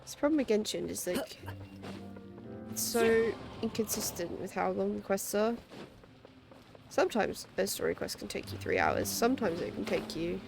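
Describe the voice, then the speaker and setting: Female streamer, casual play-by-play, creaky mid-pitched voice over game music. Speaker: game livestream host. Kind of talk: casual gameplay commentary, reading game text aloud. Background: game music behind the voice.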